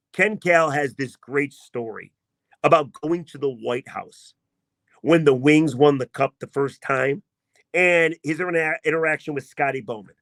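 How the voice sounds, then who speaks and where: A man hosting a sports podcast, talking in a casual, emphatic and self-mocking way, with a voice he himself calls not deep. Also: fairly bright tone, dry recording. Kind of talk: casual sports commentary monologue.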